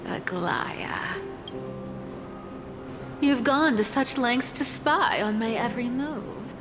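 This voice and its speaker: Sly, swooping-voiced treasure-hunting thief. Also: female voice, fairly high pitch. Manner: teasing, breezy, dramatic. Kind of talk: video game character acting.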